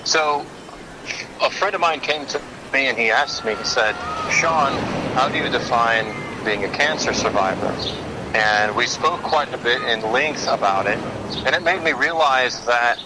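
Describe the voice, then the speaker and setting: A man's voice: medium pitch, a little slow. Casual man on a noisy outdoor call. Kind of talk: casual phone chat.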